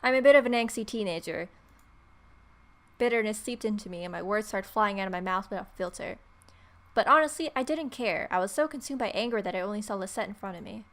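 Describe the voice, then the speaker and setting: A woman's voice: medium pitch, lively intonation, fast talker, fairly bright tone. A woman reading story text aloud as a let's player, with irreverent, sarcastic asides.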